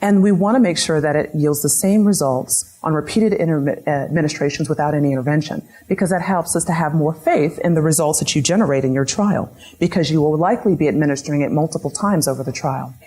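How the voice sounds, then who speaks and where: A woman's voice: deep voice, fast talker, hardly any pauses, warm tone, breathy edge. A woman giving an academic lecture, methodically posing a string of questions to her audience. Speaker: lecturer on patient-reported outcomes. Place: lecture room.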